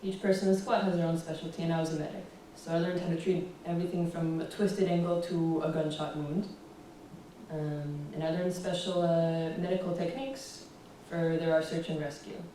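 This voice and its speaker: A young American woman giving a relaxed public talk with slides, often pausing with "um". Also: sits low. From United States. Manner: relaxed, fills pauses with ums. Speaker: guest speaker. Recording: roomy echo, distant, faint hiss.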